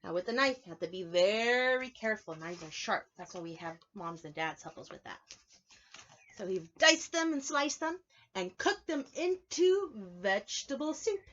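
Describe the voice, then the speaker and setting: A woman's voice: medium pitch, very animated, normal speed, fairly bright tone, dull audio. A woman preschool teacher reading a picture book aloud to young children, explaining it simply and asking them questions. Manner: instructive, simple phrasing, asks children questions.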